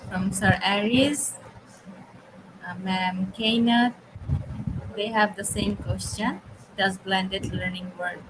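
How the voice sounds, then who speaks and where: A woman moderating an online seminar, reading out audience questions in a polite, unhurried way; English is not her first language. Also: mid-range voice, steady tone. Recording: noisy online call audio.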